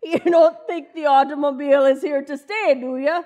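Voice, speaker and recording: A woman playing a long-suffering, practical wife in an old-time radio comedy, wry and gently chiding. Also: high voice, fairly even intonation, moderate pace, lo-fi sound, lightly acted.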